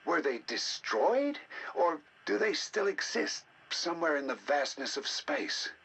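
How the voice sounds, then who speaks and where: An actor playing a learned, eager researcher, narrating game audio logs in a measured, articulate, intrigued manner. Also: male voice, fairly high pitch, big pitch swings, vocal fry, a bit bright, slight background noise, heavily acted. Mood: fascinated, eager. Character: learned male researcher, eager and fascinated by alien ruins.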